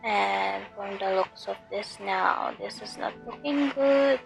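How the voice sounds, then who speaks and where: A woman talks quietly over her gameplay, keeping her voice low so as not to wake anyone at home. She speaks very slowly with a heavily gravelly, creaky tone and many hesitant fillers. Faint game music runs underneath. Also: low-quality recording. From Philippines. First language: Tagalog. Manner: soft, lowered voice, slow, many fillers. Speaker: game streamer. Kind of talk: casual commentary over gameplay. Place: home room late at night. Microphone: headphone mic.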